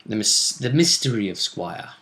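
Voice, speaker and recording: A man's voice: fairly low pitch, very animated, normal speed, sharp tone. A man talking casually as a game master, recapping tabletop role-play in hesitant storytelling fragments.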